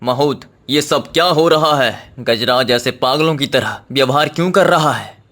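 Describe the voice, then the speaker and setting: A man's voice: medium pitch, normal speed, fairly bright tone, natural voice. A man playing a commanding Indian king with a formal, authoritative manner in a children's cartoon story. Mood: alarmed, commanding. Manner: formal, authoritative, giving orders. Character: commanding Indian king, fond of his elephant.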